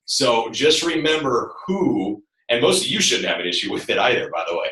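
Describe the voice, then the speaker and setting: A man with a booming voice, a fitness trainer explaining things casually and emphatically on a call, a little away from his computer microphone. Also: big pitch swings, normal speed, bright, forceful tone. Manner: direct, emphatic, often says "look". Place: echoing room, online call. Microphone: computer, some distance away. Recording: roomy, distant computer microphone.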